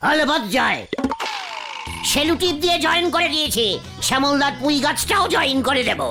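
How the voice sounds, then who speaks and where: A woman voicing a boastful, scheming male cartoon prankster who talks excitably, repeats words and mangles English. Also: high-pitched, a little fast, soft background music, full character voice. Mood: boastful, excited. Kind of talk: comic cartoon dubbing in Bengali.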